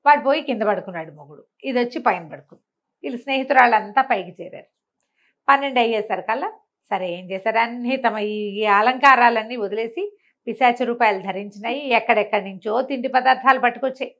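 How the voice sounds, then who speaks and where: A woman with a Telugu accent telling a folk story in a colloquial, animated way, acting out the dialogue. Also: a little high, brisk, muffled recording.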